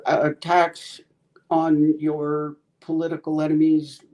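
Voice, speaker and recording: A man's voice: a little high, monotone, slow, a little mellow. A man on a casual political chat who halts, loses his words and restarts.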